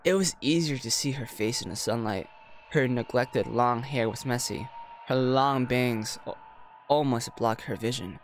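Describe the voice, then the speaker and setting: A male game streamer with a mid-pitched voice reads story text aloud and drops in casual, slangy commentary. He jokes in asides between the lines, with music playing behind him. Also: a little slow. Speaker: streamer playing and reading a visual novel.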